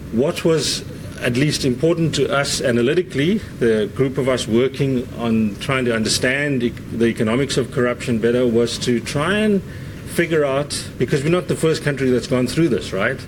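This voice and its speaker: A man introduces a guest at a university seminar. He speaks at a middle pitch in a loose, conversational way, tagging his sentences with "right?" and filling pauses often. Clear noise runs underneath his voice. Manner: conversational, frequent uh fillers and "right?" tags. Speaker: seminar host.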